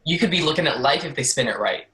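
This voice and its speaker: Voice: medium pitch, expressive, quick pace, bright, forceful tone. An actor playing a scornful, foul-mouthed jail officer in an audio play, brusque, sarcastic and bullying.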